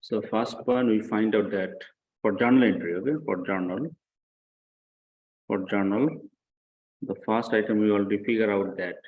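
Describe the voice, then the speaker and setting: A man with a slightly low voice gives a classroom lecture. He explains in a didactic, step-by-step way, repeating himself for emphasis. He often stops to check understanding with brief questions. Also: normal speed, pauses often, phone-quality sound. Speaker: accounting lecturer.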